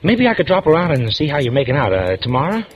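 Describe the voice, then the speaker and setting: A man's voice: a little high, big pitch swings, quick pace, dull audio. An actor playing a wisecracking, flirtatious police lieutenant in a 1940s radio drama, folksy and chuckling as he talks. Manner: amused, chuckling, folksy and forward.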